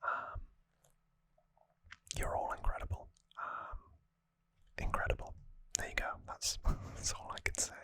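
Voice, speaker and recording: An ASMR host speaking in a breathy, unvoiced whisper, gentle and earnest, slightly rambling to viewers, close on the microphone. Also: a little slow, pauses often, no room sound. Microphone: close microphone in a dry space.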